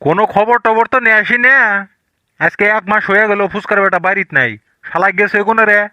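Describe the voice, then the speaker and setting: Cartoon father , male performer: trusting poor villager, high bright voice, homely and fatherly. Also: quick pace, no echo. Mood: worried, grumbling. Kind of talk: comedy cartoon voice acting.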